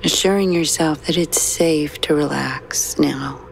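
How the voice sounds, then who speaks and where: A woman guiding a sleep meditation in a slow, soothing, hushed manner with long pauses. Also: low-pitched, creaky, slight background noise. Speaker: meditation guide. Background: soft background music.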